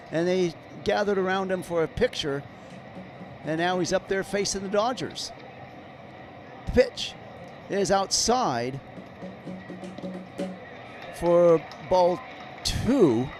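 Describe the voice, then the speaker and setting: A slightly hoarse man doing live play-by-play commentary of a baseball game, calling each pitch as it happens. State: slightly hoarse, clearing throat.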